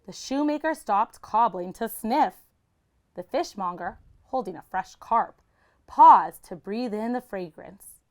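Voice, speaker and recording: A woman's voice: medium pitch, lively intonation, takes it slowly. A woman reading a children's picture book aloud like a teacher, expressively and with dramatic emphasis.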